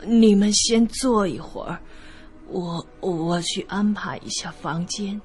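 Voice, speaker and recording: A reserved radio drama innkeeper, played by a woman, speaks slowly and hesitantly. Her voice is medium in pitch, with clear music underneath. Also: a little mellow.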